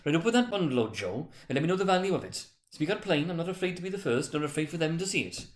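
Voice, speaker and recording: Flaunting, defiant thieving woman, high-pitched and scornful, acted by a male storyteller. Dramatic reading. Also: expressive, fast, natural voice. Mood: brazen, scornful. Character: bold, brazen, defiant thieving charwoman.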